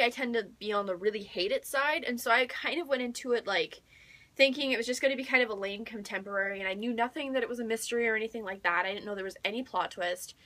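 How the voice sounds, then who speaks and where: High, bright-voiced gushing young American woman. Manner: gushing, emphatic, repeats words for emphasis. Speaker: book vlogger. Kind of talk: casual excited chat to viewers.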